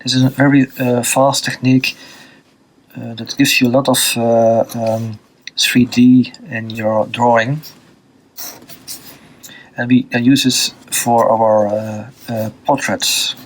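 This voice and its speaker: A man from Belgium with a Dutch accent, teaching a drawing lesson off the cuff, pausing often with fillers and restarts while he draws. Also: medium pitch, flat delivery, rough recording. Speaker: art teacher. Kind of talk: unscripted drawing tutorial.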